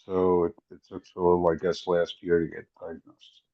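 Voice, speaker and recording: Older male guest, deep and dark, relaxed and musing; slightly muffled recording. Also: moderate pace. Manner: reflective, easygoing, trailing off. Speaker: podcast guest. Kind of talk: casual conversation. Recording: dull lo-fi audio.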